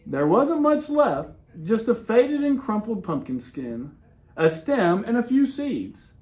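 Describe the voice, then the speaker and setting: A man reading a children's picture book aloud in an expressive way, with dramatic pauses and casual, conversational delivery. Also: high-pitched. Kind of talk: animated read-aloud with casual asides.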